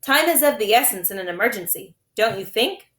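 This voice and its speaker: A woman's voice: a little high, lively intonation, brisk, a bit bright. Falsely polite male schemer, voiced by a woman: controlling, storybook delivery, roomy echo. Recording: echoey room.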